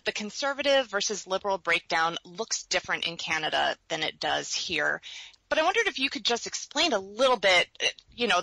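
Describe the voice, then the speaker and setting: Woman podcast host, low-pitched but bright, chatty, dry sound. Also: gravelly, dry recording, poor audio. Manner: chatty, laughs easily. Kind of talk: casual interview chat.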